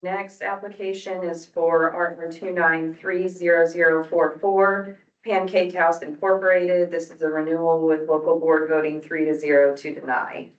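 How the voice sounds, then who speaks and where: Low-voiced woman chairing an echoey meeting. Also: flat delivery, normal speed. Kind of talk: formal parliamentary procedure. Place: indoor public meeting room. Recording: distant mic, echoey room, dull sound.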